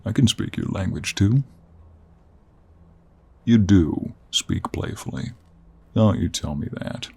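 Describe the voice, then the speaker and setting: A man playing a gruff, teasing, weary 49-year-old cowboy monster hunter in an intimate audio roleplay, with a husky Western American drawl. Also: lowish voice, lively intonation, darkish tone, exaggerated voice. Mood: playful, grumbling. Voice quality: gravelly, husky. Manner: slow, drawling, with heavy sighs and pauses. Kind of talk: intimate audio roleplay acting, addressed to the listener.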